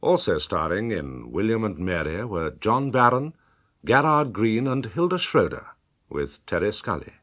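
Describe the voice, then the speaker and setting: Slow, formal British male announcer. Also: on the low side, sing-song, a bit bright. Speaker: radio announcer. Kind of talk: scripted broadcast closing credits.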